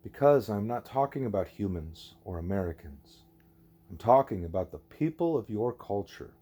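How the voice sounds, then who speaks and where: A man voicing a wise, patient gorilla teacher in an audiobook, deliberate and didactic, leaning on key words. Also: fairly low pitch, animated, mellow, slightly rough, pitched below the performer's own voice. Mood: patient, instructive.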